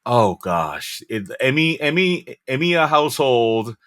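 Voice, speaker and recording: A middle-aged man from Korea, a voice actor guest chatting casually about his path and tastes, animated and often chuckling. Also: medium pitch, takes it slowly, no echo, clean studio sound. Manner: animated, chuckling, self-correcting. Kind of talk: casual interview chat.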